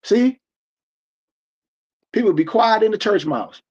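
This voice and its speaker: A man's voice: sits high, lively intonation, slow pace, a little mellow, breathy edge, lo-fi sound. A man in his forties, from the United States, lecturing in a casual preaching style as host of an online stream, emphatic and rambling with many restarts. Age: 40s.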